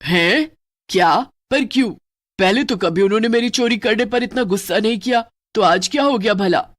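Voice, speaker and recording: A woman's voice: medium pitch, moderate pace, close to an everyday voice. Thieving cartoon witch, lazy and whiny, voiced by a woman. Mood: groggy, indignant. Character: mischievous thieving female witch, sleepy and greedy. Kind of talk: cartoon voice acting.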